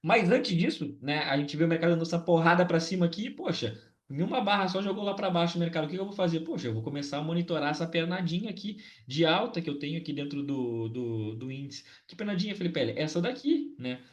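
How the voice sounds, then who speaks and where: A slightly hoarse man with a Brazilian Portuguese accent, hosting a casual trading recap and talking chattily with many tag questions. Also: fairly high pitch, animated, quick pace. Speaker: trading channel host.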